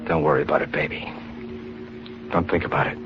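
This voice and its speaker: An actor playing a plain-spoken, brave, bashful young man in an earnest, halting 1940s radio style. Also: a bass voice, fairly even intonation, music in the background. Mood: grimly resolved. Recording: noisy, muffled 1940s radio recording.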